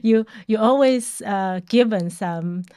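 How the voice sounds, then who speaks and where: A woman hosts a conversational interview at an average pitch for a woman. She speaks haltingly, pausing to find her words, and often adds small checking tags as she goes. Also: warm tone. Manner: halting, searches for words, frequent "like" and "right?". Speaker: podcast host.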